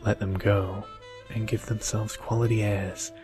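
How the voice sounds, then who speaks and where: A man softly reading flat-toned, opinionated storybook townsfolk. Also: fairly low pitch, a little slow, music in the background, lightly acted. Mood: quietly scornful. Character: gossiping townsfolk, scornful of the proud, fond of Beauty.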